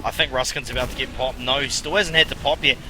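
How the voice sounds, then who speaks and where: A man with a New Zealand accent doing excitable, jokey play-by-play on an online team shooter match. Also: medium pitch, quick pace, faint music behind. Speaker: esports co-caster.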